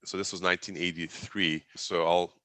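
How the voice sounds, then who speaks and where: A man's voice: low-pitched, little pitch movement, moderate pace, pushed and bright, clean tone, no room sound. A man in his forties from Turkey, Turkish his first language, recounting his life in a rambling, conversational way with many restarts. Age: 40s. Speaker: guest. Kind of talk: casual interview talk.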